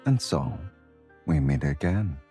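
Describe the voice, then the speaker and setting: Super deep suave love interest from a romance game, teasing n intimate, takes his time, music behind. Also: lively intonation, takes it slowly, warm tone, exaggerated voice. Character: suave, teasing male romantic lead, confident and affectionate.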